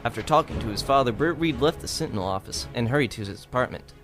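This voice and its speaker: A man's voice: mid-range voice, expressive, quick pace, loud music behind the voice, close to an everyday voice. A man narrating a scripted radio drama.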